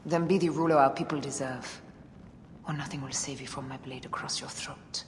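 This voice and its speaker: An actress playing a grim, resolute woman assassin in a game cutscene, speaking in a cold, low, often whispered manner. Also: fairly even intonation, normal speed, slight background noise. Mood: menacing.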